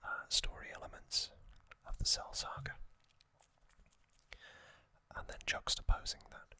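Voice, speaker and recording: A man hosting an ASMR video, whispering a soft, hesitant recap and correcting himself now and then. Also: unhurried. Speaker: ASMR host.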